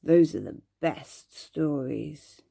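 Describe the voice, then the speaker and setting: Female reader as precocious small boy for ASMR storybook: solemn, knowing, unhurried, slightly gravelly. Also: low-pitched, animated, dark tone, dry recording, clean studio sound, exaggerated voice. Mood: matter-of-fact. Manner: solemn, matter-of-fact, knowing. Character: precocious, earnest little boy who loves scary monsters. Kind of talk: ASMR bedtime story character.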